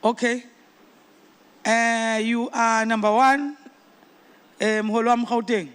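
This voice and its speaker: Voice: mid-range voice, flat delivery, slow pace, a bit bright, clean tone. A South African speaker chairing a meeting, calling on people informally and mixing English with Nguni phrases. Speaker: congress chairperson.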